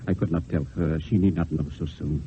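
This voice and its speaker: A man's voice: extremely low pitch, fairly even intonation, brisk, warm tone. A man playing a worried, loyal friend in an earnest, theatrical old-time radio style. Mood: anguished, worried. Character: Lebray, worried loyal male friend of a poet. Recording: tinny, noisy old radio recording.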